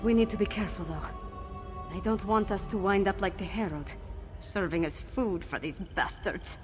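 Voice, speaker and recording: Woman acting young fantasy noblewoman rebel, fierce, earnest, determined, medium pitch, reverberant space, clear music underneath. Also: moderate pace, natural voice. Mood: grave, wary. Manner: impassioned, earnest. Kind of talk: dramatic scripted video game dialogue. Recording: long reverb, game music behind.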